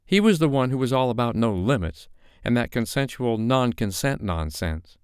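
A man voicing an older motherly woman in an audiobook, soft-hearted but scolding and sharp-tongued. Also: medium pitch, lively intonation, moderate pace, clean tone, no echo, clean studio sound, pitched above the performer's own voice, natural voice. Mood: indignant.

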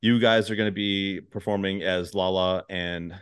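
Low-voiced male podcast host, rambling and goofy. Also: steady tone, normal speed, fairly bright tone, smooth. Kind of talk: casual, joking conversation.

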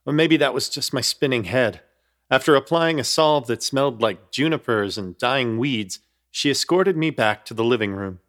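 A man's voice: mid-range voice, normal speed, a bit bright. Man narrating a horror comedy. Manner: wry, storytelling.

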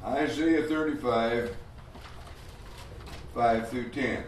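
A man teaching a Bible class in a loud, emphatic preaching voice, often asking his listeners rhetorical questions. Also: medium pitch, extremely slow, distant mic. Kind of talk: Bible study sermon. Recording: roomy hall, mic from afar, faint noise.

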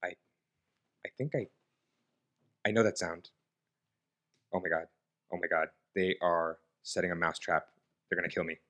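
A man's voice: deep voice, steady tone, normal speed, long pauses, bright, forceful tone. A man playing a panicky, paranoid talking lab mouse in an improvised comedy radio play, stammering and repeating himself. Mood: terrified, frantic.

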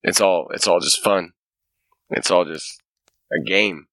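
An American man, a podcast co-host, chatting casually and hesitantly with a lot of swearing, and his voice is going hoarse. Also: low-pitched, unhurried, a bit bright, dry recording. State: losing his voice. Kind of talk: casual chat. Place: outdoors by a fire.

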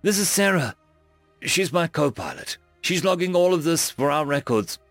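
Brief: man reading as an eager human ship captain, chatty and a bit flustered, lively pitch, dry close sound. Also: normal speed, no room sound, soft background music. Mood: earnest, friendly. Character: friendly male human starship captain.

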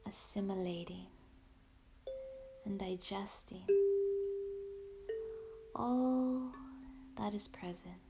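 Super slow breathy lady doing a sleep meditation, soothing, music behind her. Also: mid-range voice. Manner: slow, soothing, hushed with long pauses. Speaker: meditation guide, podcast host. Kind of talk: guided yoga nidra sleep meditation. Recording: ambient instrumental music bed. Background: meditative music with ringing tones.

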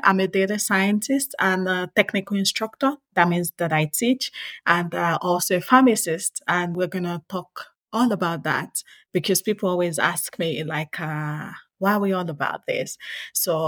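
A woman's voice: mid-range voice, dry recording. A Nigerian woman guest chatting about her work on a podcast, the sound close and dry. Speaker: podcast guest, data scientist and technical instructor. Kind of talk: casual interview talk.

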